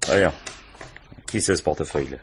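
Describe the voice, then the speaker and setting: An actor playing a gruff, paternal, world-weary police commissioner in a French radio crime drama, muttering colloquially with dry irony. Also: male voice, a bass voice, moderate pace, background noise. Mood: ironic, probing. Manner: colloquial, muttering, dryly ironic.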